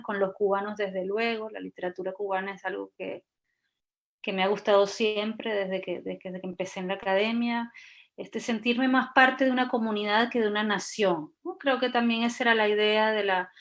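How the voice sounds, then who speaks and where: A woman's voice: medium pitch, fairly bright tone. A middle-aged woman from Venezuela, a novelist answering questions in an online panel discussion in a hesitant, reflective way with many restarts. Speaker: novelist and researcher.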